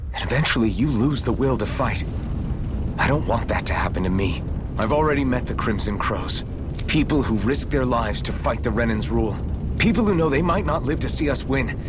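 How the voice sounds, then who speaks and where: A man's voice: medium pitch, lightly acted. A male actor playing a hot-headed, earnest young rebel swordsman in a video game, with dramatic, emphatic delivery. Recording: game dialogue under loud music.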